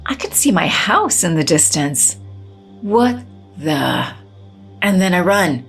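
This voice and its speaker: A woman's voice: fairly low pitch, sing-song, takes it slowly, creaky, a bit bright, faint music behind, exaggerated voice. An actress playing a fearful, shaken woman, narrating and acting unsteadily in an audio drama. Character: fearful, shaken wife and schoolteacher.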